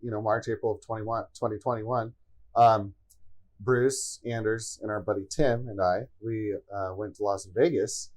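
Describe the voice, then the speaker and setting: A man's voice: on the low side, moderate pace. A man co-hosting a casual podcast chat, telling easygoing stories and laughing easily. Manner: relaxed storytelling, easy laugh. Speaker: podcast co-host.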